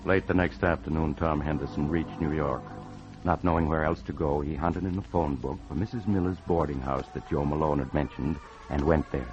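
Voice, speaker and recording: An actor as the grave narrator of an old-time radio crime tale. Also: male voice, rumbling low, steady tone, brisk, muffled recording, over music. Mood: grave storytelling.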